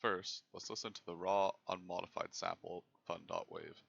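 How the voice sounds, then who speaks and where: A young man giving scripted technical narration as a presenter, in a measured, formal way. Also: sits low, flat delivery, creaky, a bit bright, husky, dull audio. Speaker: presenter of a student software project.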